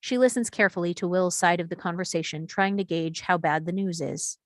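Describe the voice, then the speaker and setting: A woman's voice: fairly low pitch, brisk, dry recording, studio quality. A woman reading stage directions as scripted narration at a screenplay table read.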